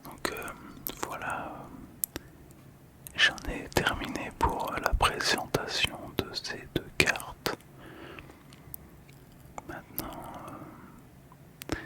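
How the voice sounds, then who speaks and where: Male ASMR host. Fully whispered, soft and calming delivery, intimate close microphone. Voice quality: breathy, unvoiced whisper. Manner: gentle, slow, soothing delivery with pauses. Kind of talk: whispered ASMR card description. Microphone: close ASMR microphone, monitored on headphones.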